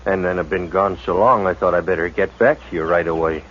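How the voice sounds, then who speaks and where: A man's voice: low voice, fast, a bit bright. A man playing a shifty, defensive homesteader with an evasive way of talking in a 1950s radio drama. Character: shifty young male homesteader, defensive liar. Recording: dull old radio recording with hiss.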